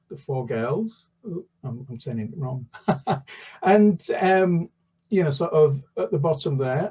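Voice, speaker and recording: An older man talks in a husky, breathy voice, heard from some distance off the mic. He chats casually and hesitantly as a children's author, full of fillers and restarts. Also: medium pitch, warm tone. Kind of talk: casual interview chat.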